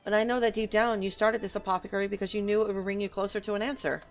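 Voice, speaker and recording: A woman's voice: medium pitch, flat delivery, rapid-fire, faint music behind. A woman game streamer talking casually on a livestream and reading game dialogue aloud. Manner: opinionated, emphatic.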